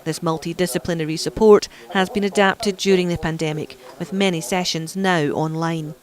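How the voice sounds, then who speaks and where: A woman reporter reading scripted news narration in an even, measured way. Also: on the low side.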